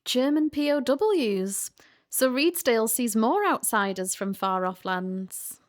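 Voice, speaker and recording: A woman's voice: on the high side, expressive, takes it slowly. An actress playing a curious young woman visitor who asks eager questions in a community radio play. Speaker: listener character.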